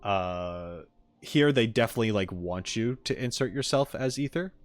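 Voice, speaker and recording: A man's voice: fairly low pitch, expressive, moderate pace, no room sound. A man chatting casually on a livestream as he reacts to game clips, opinionated and jokey, speaking into a close microphone.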